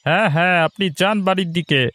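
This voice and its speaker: High-voiced, reassuring police officer. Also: male voice, fast talker, pushed and bright, no room sound. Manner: obliging, reassuring. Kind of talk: Bengali fairy-tale cartoon dubbing.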